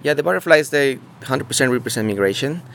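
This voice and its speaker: A man from Mexico, talking casually outdoors in an on-site interview, halting and searching for words with many fillers. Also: medium pitch, animated, vocal fry, a bit bright, a little hiss or hum. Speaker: muralist interviewee. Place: outdoors beside a mural.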